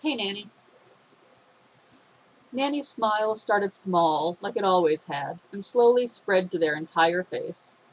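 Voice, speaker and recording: A woman's voice: mid-range voice, lively intonation, measured pace, few pauses, poor audio. Phlegmy woman reading a story aloud. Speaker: fan fiction reader.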